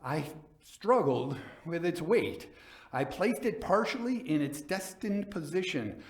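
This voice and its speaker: A man giving a dramatic reading as a coldly vengeful nobleman recounting his murder in a gothic tale, theatrical, deliberate and emphatic. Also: a little high, expressive, echoey room. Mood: tense, intense.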